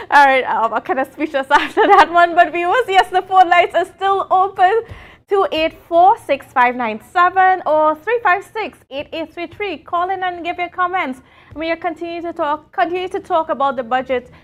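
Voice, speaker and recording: A Tobagonian woman with a high voice hosts a live morning talk show from the studio. She chats loosely, with plenty of fillers, and laughs easily between points. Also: expressive, normal speed.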